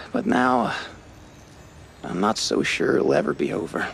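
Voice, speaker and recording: A creaky, higher-pitched, shifty schemer in a noir cartoon game. His delivery is theatrical and halting, swinging wildly up and down with rueful weight. Some noise sits behind the voice. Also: wildly swooping pitch, heavily acted. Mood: worried, resigned. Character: shifty, rueful old war buddy turned occult dabbler.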